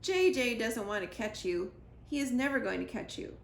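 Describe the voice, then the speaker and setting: A woman's voice: on the high side, animated, moderate pace, creaky, reverberant, a little hiss or hum. A woman voicing a bossy, sarcastic young female chick in a storybook reading. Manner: brash, sarcastic.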